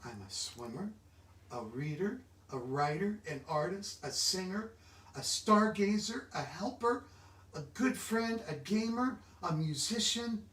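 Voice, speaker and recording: A man with a fairly high-pitched voice reads a children's book aloud. He sounds set back from the microphone in a very echoey room, and he now and then trips over a long word. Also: animated, a little slow, fairly bright tone, recorded from a distance. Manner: stumbles over long words. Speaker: book reader.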